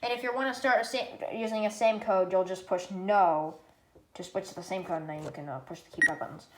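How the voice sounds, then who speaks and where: A woman giving a casual, unscripted gadget demonstration in a rambling, halting way, often correcting herself. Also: mid-range voice. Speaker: product reviewer. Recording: echoey room, loud background noise.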